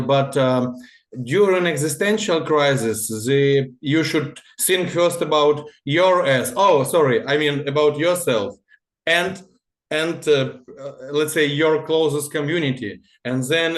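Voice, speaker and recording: Male guest, halting delivery, roomy echo. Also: fairly high pitch. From Russia-born, Ukrainian. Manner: halting, searching for words. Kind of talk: casual Q&A answers.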